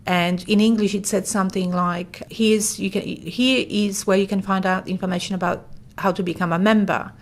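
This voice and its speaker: A woman's voice: mid-range voice, steady tone, moderate pace, few pauses. A woman whose first language is Polish, explaining things plainly in a conversational interview. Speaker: interviewee, translation specialist.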